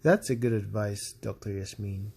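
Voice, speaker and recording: A man playing a gentle, achy father in a children's storybook, murmuring affectionately. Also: lowish voice, moderate pace, gravelly, breathy edge. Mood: warm, grateful. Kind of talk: storybook read-along character voice.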